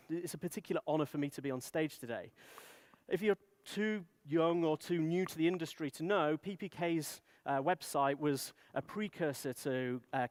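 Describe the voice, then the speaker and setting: A man's voice: a little high, no echo. Nasal-sounding man with a cold. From Britain. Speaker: conference speaker. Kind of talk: technical conference talk. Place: conference stage.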